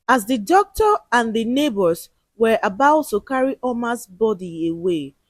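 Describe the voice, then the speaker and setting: A woman's voice: a little high, a little mellow, no echo. A woman narrating a scripted story in a dramatic, suspenseful and emotive way.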